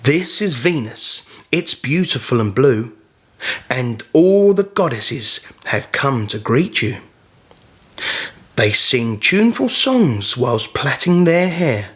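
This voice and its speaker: Man voicing a slow, showy tiny cosmic genie. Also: mid-range voice, sing-song, fairly bright tone, breathy edge, exaggerated voice. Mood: grand, welcoming. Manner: theatrical, rhyming proclamations.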